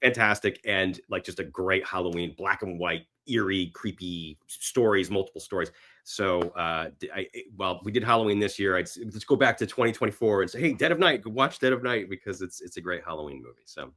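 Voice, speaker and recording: A man's voice: deep voice, fairly quick, sharp tone. A man talking casually as a guest in a podcast interview, with a joking, self-deprecating manner. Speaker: actor and screenwriter.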